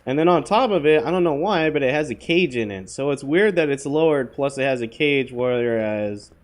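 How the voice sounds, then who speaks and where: A man with a hoarse voice from a cold gives rambling, offhand commentary while playing, talking to viewers with plenty of fillers. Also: mid-range voice, animated, normal speed. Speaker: gaming channel host. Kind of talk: casual gameplay commentary.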